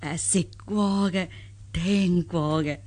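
A woman's voice: lowish voice, fairly even intonation, takes it slowly, a little mellow. A woman playing a grateful, deferential elderly countrywoman in a radio drama, rambling and sighing. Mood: humble gratitude. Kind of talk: Cantonese radio drama acting.

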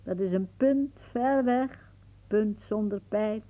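A woman's voice: medium pitch, slow pace, lightly acted. An actress playing a wry, philosophising older woman in a radio play, musing drily and easily irritated. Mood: philosophical, dry. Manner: musing, dryly aphoristic. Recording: muffled, phone-like old radio recording.

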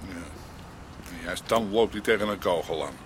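An actor playing a stubborn, principled, ageing Amsterdam underworld boss in a radio drama, blunt and halting, with an Amsterdam accent. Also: male voice, low voice, very noisy, natural voice. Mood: brooding. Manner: blunt, halting, repeating words. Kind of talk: naturalistic radio drama dialogue.

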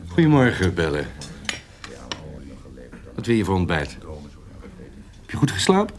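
Teasing rogue with a swooping voice. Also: male voice, deep voice, wildly swooping pitch, unhurried, a little hiss or hum, exaggerated voice. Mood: breezy, soothing. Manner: bantering, incredulous, swooping emphasis. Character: teasing, sceptical male rogue, ex-soldier's companion. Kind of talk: Dutch historical radio drama acting.

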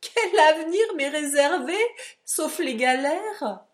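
A woman's voice: high voice, animated, slow, voice pushed up. A woman reading aloud and voicing a frightened young man who pleads and sobs in despair. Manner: sobbing, pleading.

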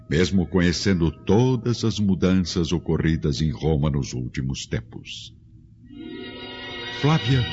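A man's voice: low-pitched, a little mellow. A man narrating a radio drama in a solemn, formal, theatrical manner. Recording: dull lo-fi audio with music behind.

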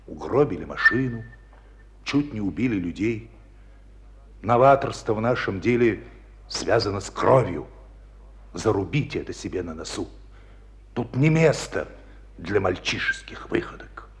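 A man's voice: fairly low pitch, expressive, measured pace, long pauses. A man acting in a radio play as a stern, exasperated military school commander, emphatic and reproachful. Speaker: actor in a radio drama.

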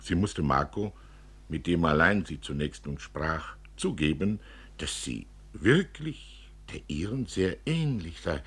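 A man reading a literary story as narrator, expressively, with dramatic and deliberate phrasing. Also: sits low, lively intonation, a bit bright, slightly rough. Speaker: narrator, reader.

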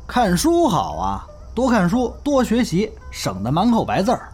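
A man's voice: high voice, wildly swooping pitch, normal speed, a little mellow, husky, soft background music, heavily acted. A man playing an easygoing young crosstalk performer in an audio drama, chatty and teasing. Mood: teasing, animated. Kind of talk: Chinese audio drama acting.

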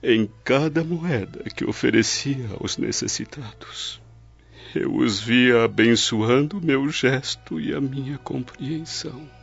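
A dying older Roman tribune in a radio drama, humble and full of remorse. The words come slowly and haltingly, weak and crackling with fry. Music plays under the dull recording. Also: male voice, medium pitch, exaggerated voice. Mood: earnest, humble. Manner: solemn, halting, pleading. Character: dying repentant Roman nobleman, older man, humble and devout. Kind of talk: old radio drama acting.

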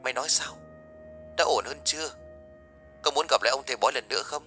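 A man voicing an older village aunt, blunt and gossipy, who talks in a hushed, confiding way and rushes through her gossip. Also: medium pitch, faint music behind, voice pushed up, close to an everyday voice. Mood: hushed concern.

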